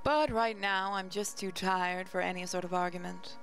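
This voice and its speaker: A woman's voice: fairly low pitch, fairly even intonation, normal speed, creaky, a bit bright. A woman hosting a gaming channel, reading visual novel narration aloud, with faint music behind her.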